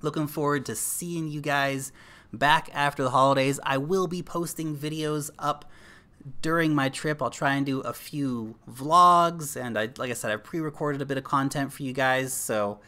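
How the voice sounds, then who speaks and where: Bright-voiced man chatting casually with viewers. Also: mid-range voice, normal speed. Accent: American. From New Zealand. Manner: chatty, addresses viewers as guys, thinks aloud with fillers. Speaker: livestream host. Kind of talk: casual livestream chat reading viewer comments.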